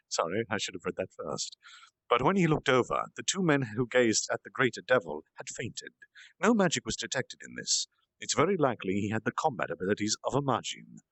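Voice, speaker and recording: A man's voice: mid-range voice, huge pitch swings, brisk, fairly bright tone, slightly rough, no room sound, poor audio. A man narrating a fantasy story aloud as an informal storytime reader. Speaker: storytime streamer reading aloud. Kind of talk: animated amateur story narration. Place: at home in a reading chair.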